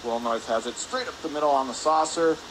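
A man's voice: medium pitch, a little fast. A man calling live hockey play-by-play for radio from an indoor rink. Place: spectator area of an indoor ice rink. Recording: noisy arena hum behind the voice.

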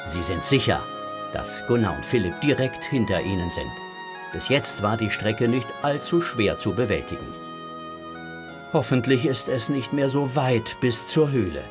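A man's voice: medium pitch, animated, moderate pace, over music. A man reading scripted narration for a children's audio drama.

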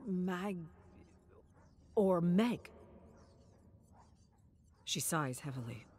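A woman narrator with a slightly low voice tells a dramatic story at a slow, deliberate pace. Her delivery is theatrical and suspenseful. A faint atmospheric noise sits behind her.

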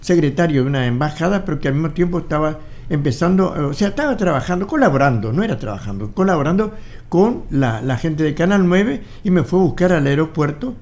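A man's voice: a little high, big pitch swings, fast talker, darkish tone. A man in his eighties with an Argentine Spanish accent, a guest in a studio interview, telling his story animatedly and acting out old conversations. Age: 80s. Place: studio with wall photos. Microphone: studio mic on boom arm.

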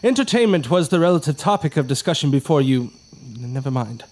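An actor playing an overly courteous, strangely cheerful servant who speaks formally and swoops up high. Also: male voice, very animated, fairly quick, darkish tone. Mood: polite eerie. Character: overly polite odd male servant, eerily cheerful. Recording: music playing under the dialogue.

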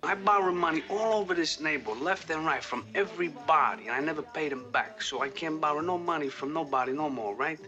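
Casting: a sneering hustler, bright tense voice, dull film sound. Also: male voice, fairly high pitch, fairly quick, few pauses. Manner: mocking, insulting, streetwise. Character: sneering small-time male neighborhood hustler.

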